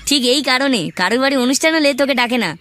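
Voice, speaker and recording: A man's voice: very high voice, very fast, a bit bright, no echo. A man voicing a crude, teasing young village lad with a West Bengal accent in a comic cartoon. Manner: foul-mouthed, mocking banter.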